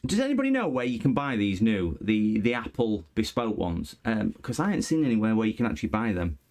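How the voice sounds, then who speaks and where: Man, lowish voice, easygoing repair-stream host who chats to viewers as he works. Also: very animated, fairly quick, a little mellow. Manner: chatty, chuckling, replies to viewers while working. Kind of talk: casual live-stream chat while repairing.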